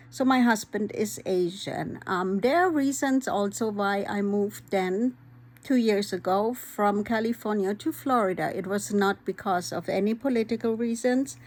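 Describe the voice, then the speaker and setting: A woman, talking candidly and earnestly to her vlog audience, pausing often with "um". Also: fairly high pitch, a little mellow. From Vojvodina, former Yugoslavia; raised in Germany. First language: Hungarian. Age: 50s. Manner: earnest, candid, frequent "um" pauses. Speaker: vlogger. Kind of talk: personal vlog talk.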